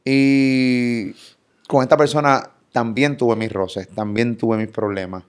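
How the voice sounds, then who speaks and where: A Puerto Rican man in his thirties hosting a casual podcast interview in a studio, energetic and playful, talking with his hands. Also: medium pitch, slow, clean tone. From Puerto Rico. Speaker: podcast host. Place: pink studio set. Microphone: boom studio mic.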